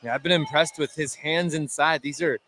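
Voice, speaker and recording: A man with a somewhat high voice gives color commentary at a basketball game. He analyzes play in a measured, conversational way, with faint noise behind him. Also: fairly even intonation, bright, forceful tone. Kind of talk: unscripted sports analysis.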